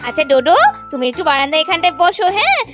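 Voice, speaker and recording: Very high village aunt played by a storyteller, kindly, fussing, quick, repeating herself, children's music behind. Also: sing-song. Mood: reassuring. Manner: fussing, repeats words, reassuring. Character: kindly, flustered young village aunt, soothing and hurried. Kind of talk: children's doll story play-acting.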